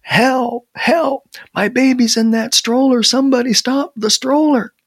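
A man voicing a panicked father in a story, desperately pleading and yelling for help. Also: very high voice, fairly even intonation, a little slow, breathy edge, no echo, pitched above the performer's own voice, heavily acted. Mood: frantic, pleading. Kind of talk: live-style oral storytelling.

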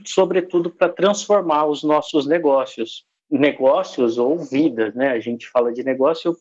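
Brazilian guy explaining tech stuff step by step, medium voice, kinda muffled lo-fi recording. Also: mid-range voice. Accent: Brazilian Portuguese. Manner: patient, explains step by step with examples. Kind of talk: unscripted explanatory talk.

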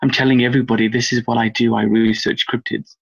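A man's voice: medium pitch, little pitch movement, fast talker, gravelly, slightly rough, lo-fi sound. A man from Wales, a guest researcher on a podcast interview, talking in a rambling, thoughtful way with lots of fillers. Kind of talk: podcast interview over a call.

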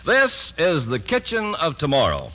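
Male American announcer for vintage radio ad, upbeat salesman, slightly high, extremely animated delivery. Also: a little slow. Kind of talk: 1950s radio advertising pitch.

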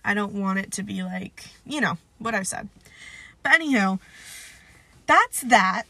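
A woman's voice: mid-range voice, lively intonation, a little slow, gravelly, sharp tone, dry recording. A young woman with a stuffed-up, nasal voice, hosting a casual solo podcast and rambling with lots of "like" and "um.". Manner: rambling, filler-heavy, self-deprecating. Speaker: podcast host.

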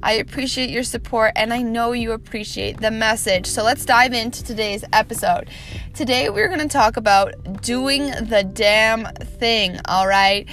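A woman's voice: a little high, measured pace, a bit bright. A woman hosting her own motivational podcast, upbeat and encouraging, laughing often, a little snuffly from a cold. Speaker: podcast host. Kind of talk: motivational solo podcast talk.

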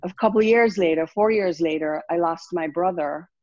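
Low-voiced Jamaican woman in her sixties. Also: a little mellow, dry recording, muffled recording. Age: 60s. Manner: reflective, unhurried, many fillers and restarts. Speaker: wellness coach. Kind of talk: interview guest telling her life story.

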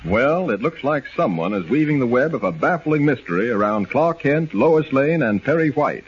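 A man's voice: medium pitch, sing-song, normal speed, breathy edge. A man performing as a dramatic announcer for a 1940s radio mystery serial. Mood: dramatic, intriguing. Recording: dull, noisy 1940s radio recording.

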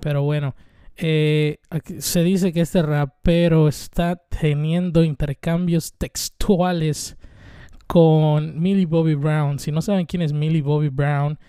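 A man's voice: fairly high pitch, fairly even intonation, slow, warm tone, clean tone, no room sound, clean studio sound. Rambling, swearing young Mexican man. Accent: Mexican Spanish. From Mexico (Veracruz). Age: 20s. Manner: rambling, irreverent, swears freely, many fillers. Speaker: podcast host. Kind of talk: casual solo monologue.

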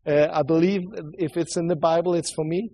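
A man with a Swedish accent preaching a sermon and praying, earnest and halting, often restarting and repeating words. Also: fairly high pitch, steady tone, mellow. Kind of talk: sermon with prayer.

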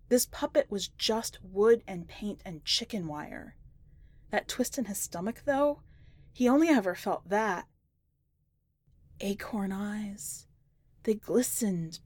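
A woman reads fiction in a scripted, dramatic storytelling style. Her voice sits at a middle pitch, and the recording is dry, with no room around it. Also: darkish tone, no room sound. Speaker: podcast editor reading a short story.

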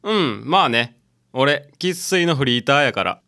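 A man's voice: mid-range voice, lively intonation, measured pace, sharp tone. A man playing a brash, grumbling young sushi delivery worker with a Kansai accent in a radio drama. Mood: casual. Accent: Kansai Japanese. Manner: blunt, complaining, casual. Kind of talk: community radio drama acting.

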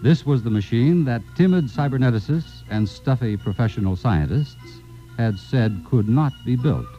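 An actor as the wry, theatrical narrator of a 1950s science fiction radio drama. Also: male voice, mid-range voice, lively intonation, moderate pace, dark tone, natural voice. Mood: dramatic, measured. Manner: emphatic, theatrical storytelling. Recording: dull vintage radio broadcast recording. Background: music.

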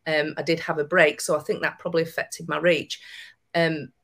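A woman's voice: sits low, level tone, moderate pace, gravelly, a bit bright. A woman with a broad Lancashire accent, a podcast guest giving encouraging, emphatic advice. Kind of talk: podcast interview.

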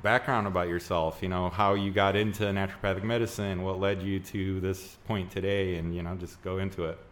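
A man with a low voice hosts a casual podcast interview. His talk is informal, with short acknowledgements, and there is slight background noise. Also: flat delivery, brisk.

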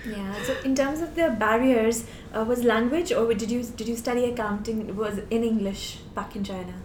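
A woman's voice: normal speed, a little mellow. A woman interviewing a guest in a conversational way on a podcast. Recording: faint rumbling background noise.

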